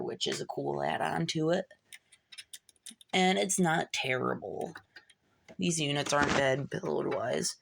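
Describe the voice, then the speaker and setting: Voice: mid-range voice, creaky, a little hiss or hum. A hobbyist narrating a hands-on repair casually and informally, muttering asides while working. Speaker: hobbyist repairer showing a fix.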